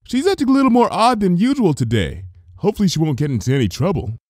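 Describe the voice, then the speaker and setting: A kindly, fluttery baker lady from a pony story, voiced by a man. Her voice sits high and swoops about, with a hesitant, folksy fussiness. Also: very animated, fast talker, darkish tone, no echo, studio quality. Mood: flustered, fussing.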